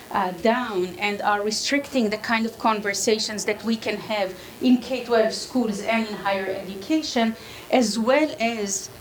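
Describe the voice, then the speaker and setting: A woman with an Israeli accent lectures slowly from a podium, pausing often on fillers. Her voice rings in an echoey hall. A faint room hum sits beneath her. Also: mid-range voice. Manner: halting, many fillers. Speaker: guest speaker, professor. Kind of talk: public lecture.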